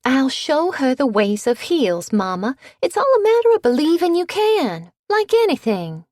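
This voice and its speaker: A woman's voice: high voice, big pitch swings, normal speed, a little mellow, no echo, studio quality, voice pushed up. A woman reading an audiobook, voicing a gushing society matron with a sugary drawl.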